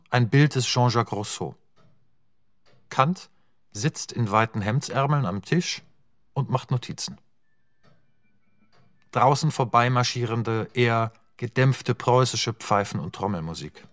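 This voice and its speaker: A man narrating stage directions in a radio drama, reading in a matter-of-fact, even way. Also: medium pitch, no room sound. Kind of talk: scripted narration.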